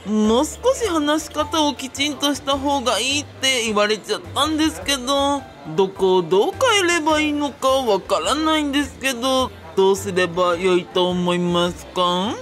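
A woman plays a polite young boy with a very high voice. He stammers and whines, easily flustered, in the manner of a comic radio drama. Faint music runs behind him. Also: normal speed, few pauses, a bit bright. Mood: sheepish. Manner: stammering, whining polite speech.

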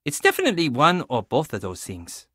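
Video game role: awkward, self-deprecating young tech geek, lightish pitched and very animated in intonation. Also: male voice, a little fast, no echo, studio quality, heavily acted. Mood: casual, upbeat. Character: geeky, awkward, friendly young male tech tinkerer. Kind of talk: video game character dialogue.

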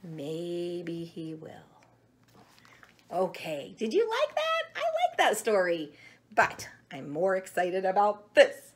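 A middle-aged woman chatting to young children in an animated, simple way in a room at home. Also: fairly low pitch, takes it slowly, slight background noise. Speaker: storyteller showing children books and eggs. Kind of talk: casual chat to children.

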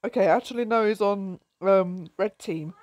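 A woman casually commenting on her own gameplay with a croaky voice, giggling and exclaiming often. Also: mid-range voice, moderate pace, no room sound, faint music behind. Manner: giggly, excitable, frequent laughs and exclamations.

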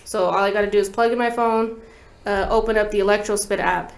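A woman's voice: mid-range voice, steady tone, fast talker. A young woman guest musician explaining things in a casual, slangy way in an interview. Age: young adult. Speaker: guest musician, talk box player. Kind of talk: casual interview chat with demonstration. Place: small podcast studio, indoors. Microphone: tabletop podcast microphone. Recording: roomy echo, light background noise.

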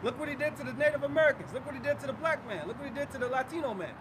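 A man with a high, quick delivery teaches scripture out on a city street. He talks blunt and colloquial, and loud traffic roars behind him. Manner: blunt, assertive, colloquial, calls people bro. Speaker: street preacher. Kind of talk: outdoor street teaching and debate.

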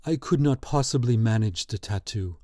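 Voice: medium pitch, normal speed, a little mellow, no room sound, clean studio sound, close to an everyday voice. A storybook reader voicing a skeptical, dismissive young painter in a near-ordinary voice.

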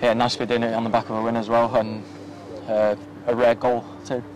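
A young man, a footballer, giving a soft-spoken post-match interview outdoors at a floodlit ground at night, with many ums and restarts, into a handheld mic. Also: mid-range voice, monotone, fairly quick, low-quality recording. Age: young adult. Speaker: professional footballer. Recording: outdoor ambience with faint noise.

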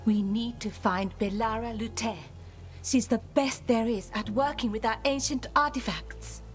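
An actress playing an earnest, self-critical expert in ancient magic in a video game cutscene. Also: female voice, a little high, steady tone, unhurried, soft background music, natural voice. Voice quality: earnest, serious.

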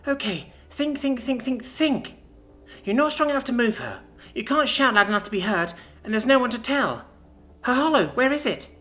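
Woman voicing a high-pitched, frightened little girl. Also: lively intonation, a little fast, fairly bright tone. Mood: distraught. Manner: childlike, pleading. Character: small frightened young girl, pleading and tearful. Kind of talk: audiobook character acting.

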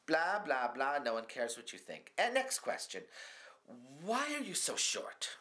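Guy doing a snooty rude talk show host, slow drawl, voice swooping all over. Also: mid-range voice, wildly swooping pitch, bright, forceful tone, pitched above the performer's own voice, full character voice. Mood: dismissive. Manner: dismissive, abrupt, mocking questions. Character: flamboyant rude male celebrity interviewer in fur coat. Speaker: interviewer. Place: small studio with curtain backdrop.

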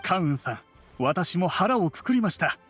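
A man's voice: high-pitched, very animated, normal speed, fairly bright tone, husky, soft background music, full character voice. An actor playing an eager merchant man in an anime game, very polite and deferential. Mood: resolved. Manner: obsequious, very polite. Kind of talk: Japanese anime game voice acting.

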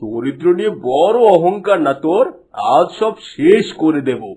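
An actor voicing a scheming, lecherous village landlord in a cartoon, haughty and menacing. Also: male voice, fairly high pitch, very animated, normal speed, dark tone, husky. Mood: offended, menacing. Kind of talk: cartoon voice acting.

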